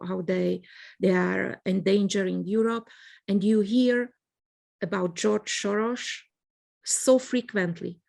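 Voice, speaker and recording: Woman journalist, gravelly edge, measured and thoughtful, restarts words. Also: fairly low pitch, a little mellow. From Slovakia. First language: Hungarian. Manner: thoughtful, repeats words while searching for phrasing. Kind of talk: panel interview answer.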